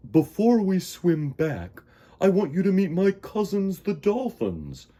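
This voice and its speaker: Man voicing a big rumbling, soothing whale. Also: exaggerated voice. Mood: cheerful. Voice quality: deep, rumbling. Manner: calm, reassuring, drawn-out delivery. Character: big, kindly, soothing male whale, cheerful friend.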